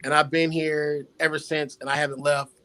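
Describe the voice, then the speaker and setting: A man's voice: a little high, flat delivery, normal speed, sharp tone. A man from North Carolina talking casually as a panelist. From North Carolina, United States. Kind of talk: casual self-introduction.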